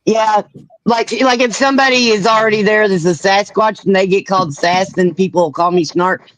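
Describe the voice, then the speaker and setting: A man's voice: high-pitched, moderate pace, a bit bright, no echo, poor audio. A man co-hosting a casual livestream panel, giggly and rambling, often restarting his sentences. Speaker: co-host.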